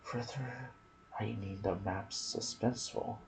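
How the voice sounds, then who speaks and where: A soft-spoken man hosting an ASMR gaming video, reading trivia with casual asides and sometimes dropping into a whisper. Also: fairly low pitch, measured pace, vocal fry, slight background noise.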